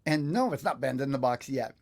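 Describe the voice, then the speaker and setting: A man's voice: medium pitch, expressive, brisk, gravelly. Older American man hosting a casual tutorial. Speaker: online guitar teacher and livestream host. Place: small indoor home studio.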